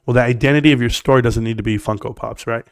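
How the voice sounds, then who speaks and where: A man's voice: mid-range voice, fairly even intonation, quick pace, gravelly, no room sound, clean studio sound. A middle-aged man with a scratchy voice, giving a blunt, emphatic, opinionated stock rant to viewers on a livestream. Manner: blunt, emphatic, talks straight to the chat. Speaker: stock market live streamer.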